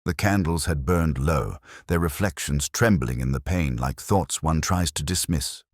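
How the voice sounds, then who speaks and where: Very deep-voiced male mystery narrator. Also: dry recording, clean studio sound. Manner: measured, melancholy, solemn delivery. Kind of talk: first-person literary mystery audiobook narration.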